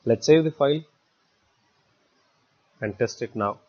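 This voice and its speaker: A man narrating a step-by-step software tutorial in a halting way, repeating words as he goes. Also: mid-range voice. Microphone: voice-over recorded for screen capture. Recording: dull, muffled lo-fi voice recording.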